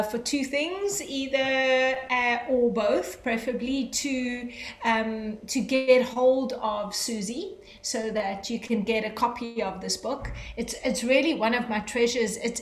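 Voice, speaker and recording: A woman in her forties hosting a live kitchen chat over a webcam call, bubbly and enthusiastic, with many fillers. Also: on the high side. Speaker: host. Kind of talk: casual hosting on a live stream. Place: home kitchen, indoors. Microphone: webcam on a video call. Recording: echoey kitchen with faint noise.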